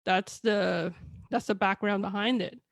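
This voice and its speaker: A woman's voice: mid-range voice, fairly even intonation, measured pace, vocal fry, dry recording. A woman author whose first language is a Chinese dialect and Tagalog, telling her story in a candid, rambling way over a video call. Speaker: author guest. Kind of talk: casual video chat.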